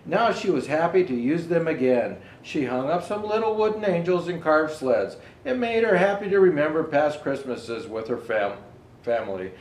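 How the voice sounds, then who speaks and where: Male children's storyteller, highish voice with animated swings, halting read-aloud delivery with asides about pictures, roomy echoing recording. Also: slight background noise. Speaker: storyteller presenting as Santa Claus.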